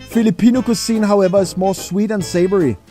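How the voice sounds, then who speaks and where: A man doing a scripted voice-over narration in a measured, list-like way, stretching out key words. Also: high voice, steady tone, music in the background. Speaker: video narrator.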